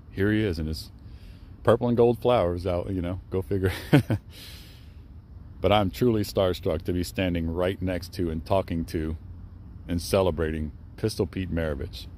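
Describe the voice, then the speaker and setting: A deep-voiced young man records a casual travel vlog outdoors in a cemetery. He rambles with plenty of fillers and restarts, keeping his tone hushed and respectful. Faint outdoor noise sits behind the camera-mic sound. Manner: rambling, with many fillers and self-corrections. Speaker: travel vlogger. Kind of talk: casual vlog monologue at a graveside.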